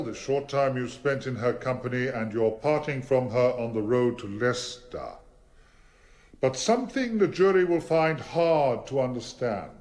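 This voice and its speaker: A man acting a formidable middle-aged barrister in a radio drama, speaking deliberately and rhetorically. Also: mid-range voice, a little mellow, lightly acted. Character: formidable middle-aged male barrister, probing in court, gentle as father.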